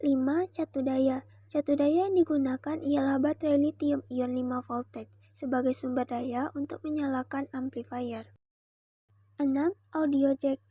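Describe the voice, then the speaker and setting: A woman's voice: sits high, steady tone, measured pace, mellow, dry recording, studio quality. A young woman from Indonesia giving a scripted, formal explanatory narration as a student presenter, methodical in her delivery. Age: young adult.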